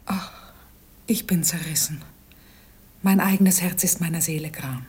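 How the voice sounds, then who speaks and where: A lovesick scheming slave, very breathy anguished whisper, radio play. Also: female voice, fairly low pitch, unhurried, a little mellow. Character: lovesick, scheming slave woman torn by passion. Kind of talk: theatrical German radio play acting.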